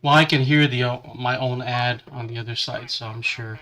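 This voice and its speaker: A man talks through a casual hobby livestream from his workbench, his voice bright and forward. He is still getting over a cold, with a lingering cough and a stuffy nose. His chat comes in halting bits, as his hands stay busy. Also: mid-range voice, steady tone. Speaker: livestream host building a model kit.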